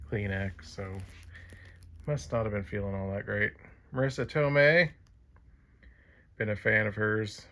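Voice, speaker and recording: A man's voice: medium pitch, fairly bright tone, lo-fi sound. A man casually narrating his own vlog, chatty and rambling with fillers. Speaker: vlogger.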